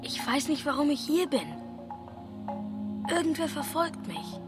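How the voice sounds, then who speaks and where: Naive village child, music behind. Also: female voice, sits high, fairly even intonation, unhurried. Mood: anxious, lost. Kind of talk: radio drama dialogue.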